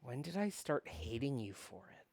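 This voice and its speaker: A performer voicing a wounded, wistful visual novel character in a hushed, dramatic way. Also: mid-range voice, very animated, normal speed, creaky, no room sound. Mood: wistful, hurt. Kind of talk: acted visual novel reading.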